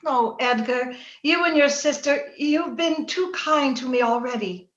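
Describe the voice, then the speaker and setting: Woman acting as troubled young gentlewoman, radio drama, passionate, emotional, roomy echo, slightly dull audio. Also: high voice, measured pace. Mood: earnest, haunted. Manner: emotional, dramatic delivery with breathless repetitions. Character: Cathy, passionate, troubled young gentlewoman, now Edgar's wife.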